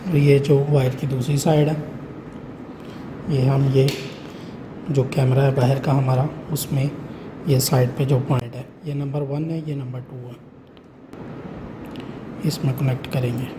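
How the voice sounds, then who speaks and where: Guy doing a casual step by step how-to, pretty monotone, lots of background noise on it. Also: mid-range voice, unhurried, long pauses. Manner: explains step by step with repeated check-in phrases. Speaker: tutorial host showing an intercom installation. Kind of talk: hands-on how-to demonstration, casual. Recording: clearly noisy room recording.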